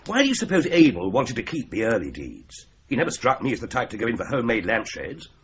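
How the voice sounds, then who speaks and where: A bluff, astonished English gentleman. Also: medium pitch, sing-song, fast talker. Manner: bluff, exclaiming "Good Lord", self-deprecating. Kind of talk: BBC-style radio drama acting. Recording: dull lo-fi radio play with faint hiss.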